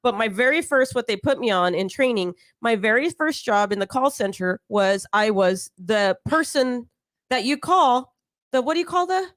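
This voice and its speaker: Female talk host, thirties, higher register, dry close sound, giggly and playful, casual group conversation. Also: lively intonation, moderate pace, dry recording. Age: 30s. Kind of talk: casual live chat with co-hosts.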